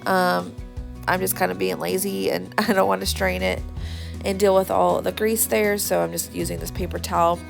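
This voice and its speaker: A hoarse woman in her thirties hosting a casual cooking vlog, chatty and full of ums and likes. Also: lowish voice, level tone, normal speed, soft background music. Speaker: cooking channel host.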